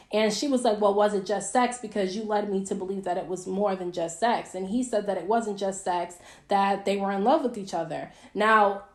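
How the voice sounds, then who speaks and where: A woman's voice: mid-range voice, a little mellow. A young American woman giving a casual, gossipy recap of reality TV, chatty and opinionated with slangy mild swearing. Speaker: YouTube reviewer recapping reality TV.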